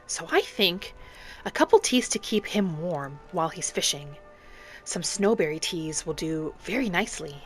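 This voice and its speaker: A woman narrating a video game roleplay in character, speaking in polite, gently formal phrasing. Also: mid-range voice. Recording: background music behind the voice.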